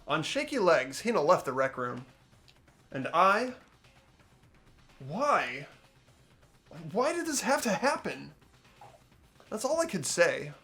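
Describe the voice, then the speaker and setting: A man's voice: high-pitched, big pitch swings, takes it slowly, pauses often, sharp tone, faint music behind, full character voice. Guy playing dramatic earnest teenage game hero narrator, lightish voice, big swings. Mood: shaken, somber.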